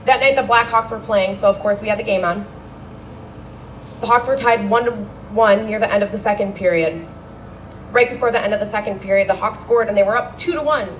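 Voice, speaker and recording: Daughter giving a heartfelt eulogy speech. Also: female voice, medium pitch, a little fast, fairly bright tone, a little hiss or hum, low-quality recording. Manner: heartfelt, wry storytelling. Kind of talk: memorial speech read aloud. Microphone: handheld microphone.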